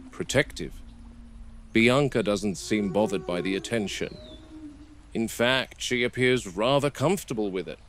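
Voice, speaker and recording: A man playing a stern, cautious game warden, speaking in a measured, matter-of-fact way as in a scripted found-footage field log. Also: on the low side, a little hiss or hum. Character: stern, cautious male game warden logging park animal observations.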